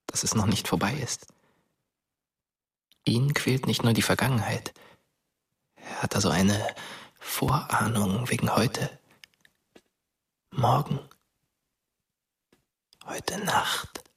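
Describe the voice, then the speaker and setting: A man's voice: fairly low pitch, slow pace, creaky, pauses often, far from the mic. An actor playing a mischievous, cocky dead teenage boy in a radio drama. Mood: sardonic, ominous.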